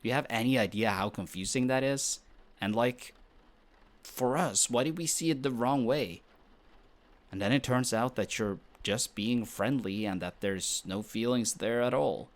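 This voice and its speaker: A man's voice: medium pitch, close to an everyday voice. A man playing a flustered, defensive young best friend who is secretly smitten, in an intimate romantic audio roleplay. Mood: exasperated, defensive. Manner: defensive, bickering, halting when shy.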